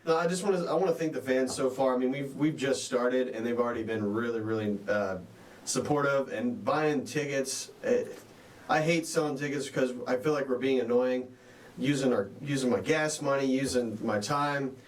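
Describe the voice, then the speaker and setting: A man's voice: mid-range voice, normal speed, a little hiss or hum. A man in his twenties from Oklahoma, a band member rambling through a casual interview with lots of stammered restarts. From Southern Oklahoma. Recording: echoey room, from far off.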